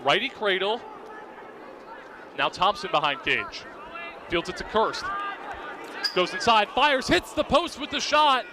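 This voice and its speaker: A man's voice: high voice, expressive, measured pace, pauses often, crowd noise. A young man doing live radio play-by-play commentary in clipped, vivid present-tense calls. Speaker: play-by-play announcer. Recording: outdoor broadcast with clear background noise.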